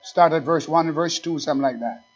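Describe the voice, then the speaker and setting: A high-pitched man preaches from the pulpit, dropping to low asides and then surging loud. He slows to lean hard on scripture and keeps firing questions at his listeners. The recording is dull and lo-fi. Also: soft background music. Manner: reads scripture slowly and with emphasis; talks straight to the congregation with questions. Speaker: pastor. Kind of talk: fiery sermon.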